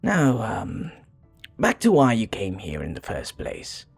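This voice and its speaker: A man acts out a seductive vampire bartender in an intimate ASMR roleplay. His voice is low and breathy, and he flatters at a slow, teasing pace with small hesitations. Soft music plays behind him. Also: full character voice. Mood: friendly, easygoing. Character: flirty, charming male vampire bartender, smooth teasing flatterer.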